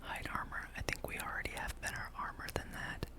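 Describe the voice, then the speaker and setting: Soft whispered gaming ASMR ramble. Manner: unhurried, casual musing.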